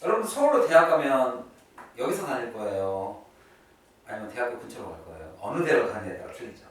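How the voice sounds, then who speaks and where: A man's voice: medium pitch, very animated, a little fast, a bit bright. Male classroom English teacher, chatty, swinging intonation, recorded at a distance in a very reverberant room. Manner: chatty, checks in with students often. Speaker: English teacher at a private academy. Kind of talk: classroom lesson, explaining vocabulary and grammar. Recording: echoey room, distant mic, faint noise.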